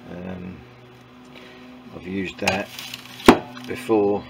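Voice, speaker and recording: A man giving informal hands-on workshop commentary in a halting, matter-of-fact way with clipped sentences. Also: deep voice, level tone, vocal fry. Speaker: presenter of a motorbike build vlog. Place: small wooden shed workshop. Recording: noisy workshop recording with background ambience.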